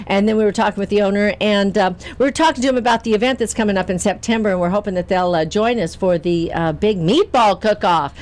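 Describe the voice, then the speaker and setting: A woman hosting a casual radio variety show, chatty and quick to laugh. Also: mid-range voice, darkish tone. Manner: giggly, chatty.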